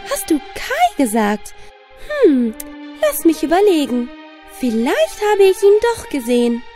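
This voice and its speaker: A woman's voice: high voice, very animated, unhurried, voice pushed up. A woman voicing a sweet, coaxing older garden lady in a children's storybook, gushing and sing-song. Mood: sly, musing. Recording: long reverb, music underneath.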